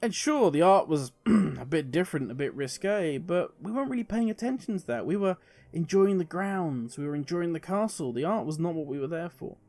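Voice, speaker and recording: A British man giving conversational opinion commentary to viewers as a gaming host, explaining in a measured way with deliberate pauses. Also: on the high side, lively intonation, a little mellow, soft background music. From United Kingdom. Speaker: gaming video creator and host.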